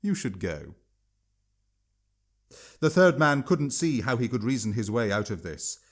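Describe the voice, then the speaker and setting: A man's voice: medium pitch, animated, moderate pace. A man narrating a written story as an audiobook-style reader and its author.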